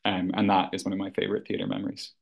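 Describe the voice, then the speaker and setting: A man's voice: low-pitched, monotone, very fast, gravelly. A man from rural Ireland, a theater artistic director talking earnestly as a podcast guest, often restarting his sentences. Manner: thoughtful, earnest, with frequent restarts and "you know". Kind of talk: conversational podcast interview.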